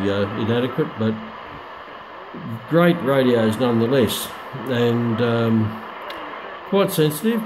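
A middle-aged man giving a casual, unscripted product demonstration in a halting, hesitant way with many pauses. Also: medium pitch. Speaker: radio hobbyist reviewer. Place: small room at home, indoors. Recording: noisy home recording with radio static. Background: shortwave radio static and broadcast.

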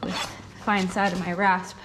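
Young woman talking over noisy rasping. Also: on the low side, flat delivery. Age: young adult. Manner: thinking aloud in short, halting phrases while working. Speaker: horse owner giving a hoof trimming tutorial. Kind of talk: casual hands-on demonstration talk. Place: indoor barn with concrete floor. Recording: clear rasping and clattering noise. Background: filing, scraping and clatter of tools.

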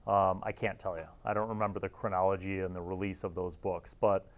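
Low-voiced middle-aged man reviewing casually. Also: level tone, fairly quick. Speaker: video host and reviewer. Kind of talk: casual review commentary to camera.

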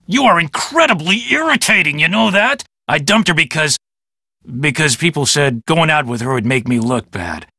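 An actor playing a vain, boastful and defensive police detective in a video game, with an American accent and a self-important, dismissive manner. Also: male voice, a little high, lively intonation, moderate pace, bright, forceful tone, no room sound, studio quality, full character voice. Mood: sullen, defensive. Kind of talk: video game character acting.

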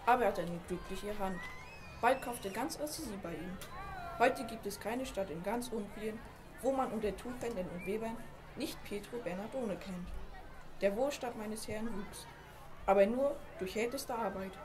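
A woman's voice: medium pitch, moderate pace, lightly acted. Woman playing a loyal shop clerk, crowd behind. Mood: matter-of-fact. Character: loyal long-serving male shop clerk giving testimony. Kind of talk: amateur radio play acting.

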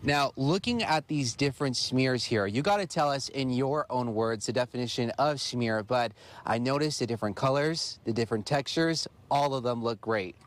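A man's voice: mid-range voice, normal speed, few pauses. A man working as a TV reporter, holding a handheld mic outdoors and asking enthusiastic, complimentary questions during a live segment. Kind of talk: live TV interview. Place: outdoor park by the ocean.

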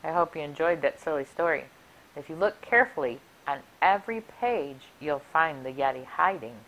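A middle-aged woman reading a rhyming picture book aloud for children in an expressive, deliberate, sing-song way. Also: low-pitched, poor audio. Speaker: library story time reader. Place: small furnished room indoors. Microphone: clip-on lapel mic.

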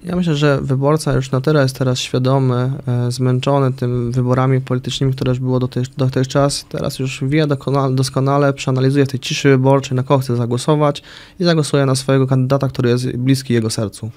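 A man's voice: mid-range voice, little pitch movement, fairly quick, a little mellow. A young man answering as a guest in a radio interview, hesitant and full of fillers, speaking into a desk mic in a small radio studio. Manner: hesitant, with many fillers and restarts. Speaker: political candidate, guest. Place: small radio studio with orange acoustic panels. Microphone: studio mic on a desk arm.

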